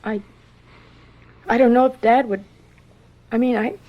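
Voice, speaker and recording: High-pitched, distressed young woman. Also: fairly even intonation, muffled recording. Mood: pleading. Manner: halting, pleading, emotional. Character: distressed, lovelorn young woman, a professor's daughter. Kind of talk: radio drama acting.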